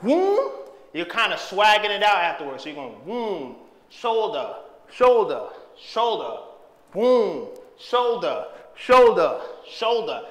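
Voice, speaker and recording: Energetic young man calling dance moves. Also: high-pitched, slow pace. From Haitian background. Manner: energetic, hypes and shouts while dancing. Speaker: dance instructor. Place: empty room with bare walls, indoors. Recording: echoey empty room.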